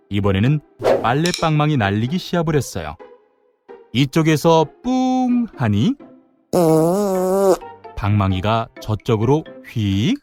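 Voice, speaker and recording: A man narrating a children's folktale in an animated, dramatic way with playful sound words. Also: on the high side. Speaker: storyteller, narrator. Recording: music behind the voice.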